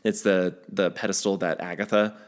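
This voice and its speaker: A man's voice: low voice, level tone, normal speed. A man hosting a podcast, sharing news in a casual, conversational way, teasing his co-host and restarting his sentences as he goes.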